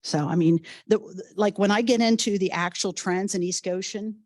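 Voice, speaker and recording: A woman police chief, coming down with a cold, giving a measured, explanatory report at a township meeting. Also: medium pitch, darkish tone, dry recording, studio quality.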